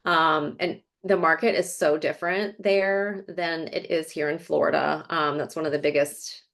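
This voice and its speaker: Chatty woman, low voice, storytelling. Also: steady tone, normal speed, fairly bright tone. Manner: chatty, fillers, rambling storytelling. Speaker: real estate agent, guest. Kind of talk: casual interview conversation. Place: Florida.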